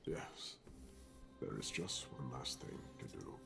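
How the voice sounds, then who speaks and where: An actor playing a grave man on a quest for lost divinity in a fantasy game, speaking in a measured, formal, portentous way. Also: music in the background, close to an everyday voice. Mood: grave, reflective. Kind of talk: scripted fantasy game dialogue.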